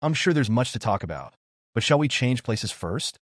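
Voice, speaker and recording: A man voicing a soft-spoken gentle young woman. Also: medium pitch, very animated, normal speed, no echo, studio quality. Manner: soft, gentle and warmly polite.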